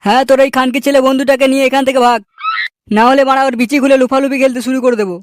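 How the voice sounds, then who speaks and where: Man voicing a high, bullying cartoon gatekeeper. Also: flat delivery, rapid-fire. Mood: scornful, threatening. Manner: curt, dismissive, crude. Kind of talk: comedy cartoon dubbing.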